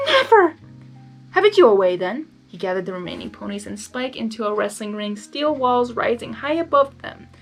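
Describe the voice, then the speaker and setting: A woman's voice: mid-range voice, low-quality recording. A woman narrating fan fiction expressively, slipping into casual, emotionally invested asides.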